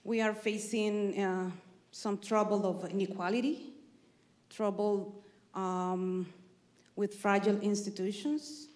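A woman from Honduras with a Spanish accent, speaking English as a panelist on stage, halting and searching for words. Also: medium pitch, darkish tone. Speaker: panelist, peacebuilder. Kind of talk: panel answer. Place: large theater stage. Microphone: handheld mic. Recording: roomy theater sound.

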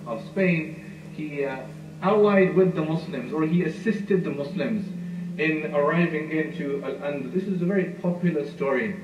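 A man giving a history lecture, telling the story at an unhurried storyteller's pace with frequent "uh" fillers. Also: on the high side. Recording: muffled, roomy, far from mic, noisy.

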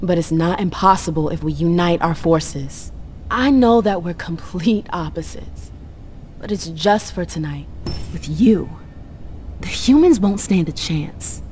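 Low-voiced, determined vampire envoy. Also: female voice, expressive, measured pace, a little mellow, a little hiss or hum. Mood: insistent. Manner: assertive, blunt, earnest. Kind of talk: video game cutscene acting.

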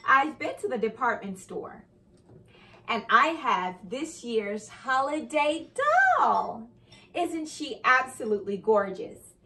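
A young adult woman reading a children's story aloud with expressive, carefully enunciated storytelling delivery. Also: fairly high pitch, takes it slowly. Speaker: host and reader of children's read-aloud show. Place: indoor living room by a fireplace.

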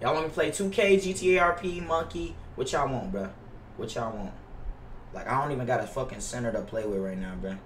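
A man's voice: mid-range voice, animated, fairly quick, reverberant, distant mic, noisy background. A young American man in his twenties chatting casually on a gaming livestream, profane, slangy and repetitive in his griping. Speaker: game streamer. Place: indoor home gaming room. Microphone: headset mic.

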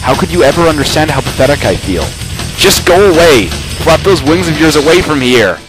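A man's voice: fairly high pitch, animated, brisk, close to an everyday voice, low-quality recording. A man voicing a proud, determined young dreamer who flies gliders, played earnestly for an anime fan dub. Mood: bitter. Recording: prominent music behind the voice.

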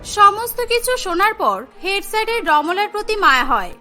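A woman narrates a scripted cartoon tale. Her voice is strikingly high-pitched and bright. Soft music plays beneath the narration. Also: very animated, normal speed. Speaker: story narrator.

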